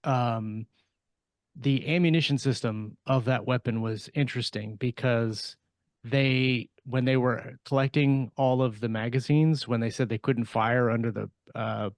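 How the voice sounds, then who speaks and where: A man with a mid-pitched voice in a casual podcast discussion. He explains in a halting way, working out his thoughts as he speaks. The sound is close and dry, with very little room. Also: fairly even intonation, no echo. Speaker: podcast co-host and fiction writer.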